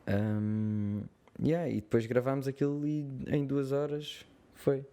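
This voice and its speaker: A man's voice: mid-range voice, fairly even intonation, takes it slowly, warm tone, clear, resonant tone. A young Portuguese man, an actor, telling stories in a slangy, rambling casual interview chat with a European Portuguese accent. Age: young adult. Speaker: actor guest. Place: studio.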